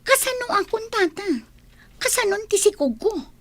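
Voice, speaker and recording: A jilted young maid pleads in a high, bright voice, acted by a woman for a village radio drama. Her plaintive delivery is very animated, in a dry recording. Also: unhurried, dry recording, full character voice. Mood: distraught.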